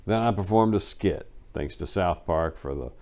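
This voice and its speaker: Male storyteller, slightly low voice, highly varied intonation, for a theatrical first-person narrative read with emphasis and measured pauses. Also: lively intonation, darkish tone. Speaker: host and story reader. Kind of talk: dramatic first-person memoir reading.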